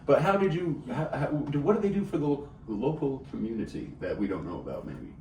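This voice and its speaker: A man's voice: medium pitch, very animated, warm tone. A man hosting a local radio show, chatting casually and laughing easily with his guest. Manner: laid-back, slangy, quick to laugh. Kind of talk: casual interview chat. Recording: roomy, distant mic, faint hiss.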